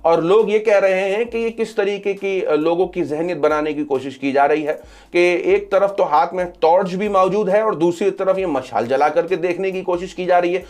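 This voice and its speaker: A man with a somewhat high voice delivers news commentary as a presenter. He moves through updates, restating key phrases to drive them home. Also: normal speed, a little mellow. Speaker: social media news commentator. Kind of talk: news commentary sharing updates to camera or over screen.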